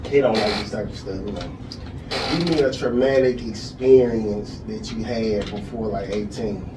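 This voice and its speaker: Relaxed male host, casual slangy delivery, echoey room, mic at a distance. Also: fairly low pitch, moderate pace, noisy background. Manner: laid-back, slangy questions. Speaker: podcast host. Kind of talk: casual interview.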